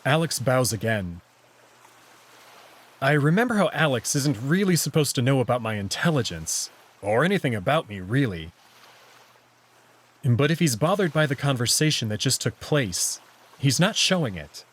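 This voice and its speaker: Expressive man narrating, slightly rough voice. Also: mid-range voice, normal speed, long pauses. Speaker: narrator reading a visual novel aloud. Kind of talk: scripted first-person story narration.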